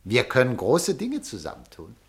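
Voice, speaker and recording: A man's voice: medium pitch, wildly swooping pitch, normal speed, a little hiss or hum. A man acting a pushy, persuasive newspaperman in a radio play, speaking with insistent, theatrical delivery. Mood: brisk, persuasive. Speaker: radio drama actor.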